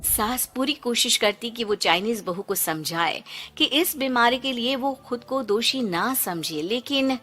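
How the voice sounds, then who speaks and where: A woman's voice: on the high side, animated, a little slow, few pauses, sharp tone, faint music behind. A woman narrator reading a scripted moral story for a cartoon.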